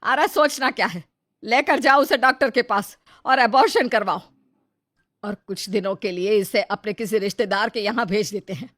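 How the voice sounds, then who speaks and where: A woman's voice: mid-range voice, moderate pace, a bit bright, natural voice. A woman voicing an angry, honor-obsessed middle-aged mother who scolds harshly in a storybook drama. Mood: scornful.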